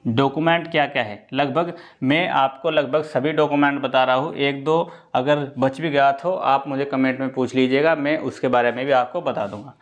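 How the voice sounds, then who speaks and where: A man's voice: medium pitch, steady tone, normal speed, few pauses, dull audio. A man explains things informally to students as a coaching presenter, repeating key points and often checking in.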